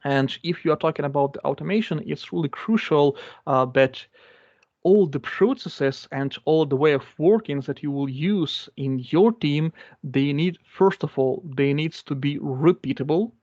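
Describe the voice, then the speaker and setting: A man's voice: on the high side, normal speed, darkish tone, dry recording. Man explaining code in non-native English. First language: not English. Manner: explanatory, repeats phrases, many fillers. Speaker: guest speaker. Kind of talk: live coding demo. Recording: dull lo-fi dry sound.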